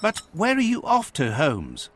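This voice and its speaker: An actor playing a kindly, fussy British gentleman doctor in a video game, expressive and solicitous. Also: a little high, a little slow, background noise. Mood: puzzled.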